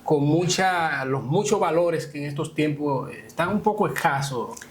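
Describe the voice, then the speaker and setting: A man with a Dominican accent leads an informal interview. His voice is fairly high for a man, and he speaks haltingly, feeling his way through sentences with small confirmation tags. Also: a crowd in the background.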